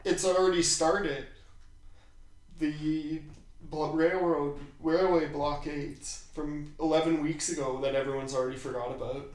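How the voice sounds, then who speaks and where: Raspy man in a very echoey room. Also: on the high side, steady tone, unhurried. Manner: profane, irreverent. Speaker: podcast host. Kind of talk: casual chat.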